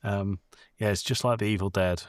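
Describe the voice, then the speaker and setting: A man's voice: fairly low pitch, fairly even intonation, normal speed, no echo, clean studio sound. A British man co-hosting a podcast, talking casually about films in a joking, blunt manner. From Britain. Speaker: podcast co-host. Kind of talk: casual film discussion.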